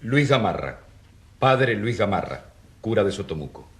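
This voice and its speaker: A man's voice: on the low side, very animated, moderate pace. An actor playing an earnest country priest in his thirties, pleading with rhetorical force in a radio drama. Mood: dignified, composed. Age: 30s. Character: impassioned rural priest of 34, defending poor peasants. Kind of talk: radio drama courtroom oratory. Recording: roomy echo.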